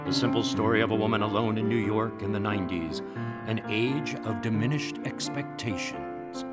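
Male radio announcer over loud music. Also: on the low side, moderate pace. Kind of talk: scripted radio drama introduction. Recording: loud theme music behind.

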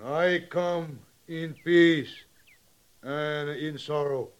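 An actor in a 1950s western radio drama playing a dignified, grieving Sioux chief who speaks solemn, halting, simplified English. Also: male voice, fairly high pitch, steady tone, clean tone. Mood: sorrowful, dignified. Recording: muffled old radio drama recording.

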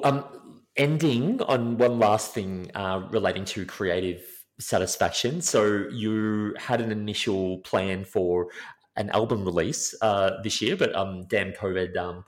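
Deep-voiced podcast host. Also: male voice, measured pace. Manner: rambling, friendly, swears casually. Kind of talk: casual interview hosting.

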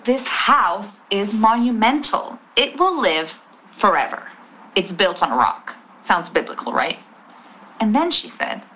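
An actress playing a chatty, salesy real estate agent in an audio drama, with a persuasive, wry manner. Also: female voice, mid-range voice, a bit bright, full character voice, poor audio.

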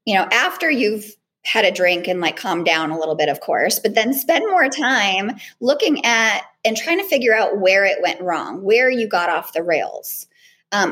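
A woman trial lawyer with a small, girlish voice, answering interview questions in a relaxed way with frequent ums and restarts. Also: medium pitch. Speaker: trial lawyer and cross-examination trainer.

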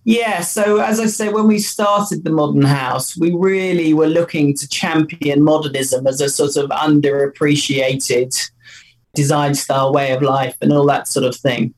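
A man's voice: on the high side, fairly even intonation, unhurried, low-quality recording. A man, co-founder of a company, answering interview questions in an enthusiastic, loose way with many fillers.

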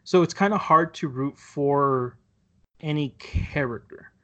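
A man chatting casually about a TV show, rambling and halting with many restarts and fillers. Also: a little high, fairly bright tone. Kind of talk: casual chat reviewing a TV show.

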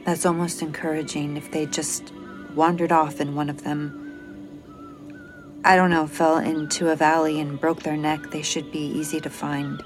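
A woman's voice: low-pitched, very animated, a little slow, over music. An actress playing a stressed, weary space mission officer who is protective of her crew, in an audio drama. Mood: subdued, uneasy. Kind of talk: audio drama acting, logged report monologue.

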